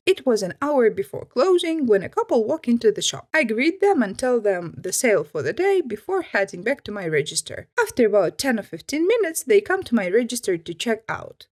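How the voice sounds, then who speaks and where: A woman reading online stories aloud and adding her own comments. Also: on the high side. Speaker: storyteller.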